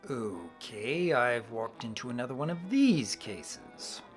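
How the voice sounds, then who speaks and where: A man's voice: medium pitch, very animated, slow, soft background music. A man playing an unruffled doctor with a dry manner in a comedy video skit. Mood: dry, knowing.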